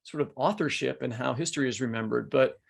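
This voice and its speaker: A man's voice: mid-range voice, fast talker, vocal fry. A man in his fifties hosting a conversational literary interview, rephrasing as he goes. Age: 50s. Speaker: podcast host interviewing an author.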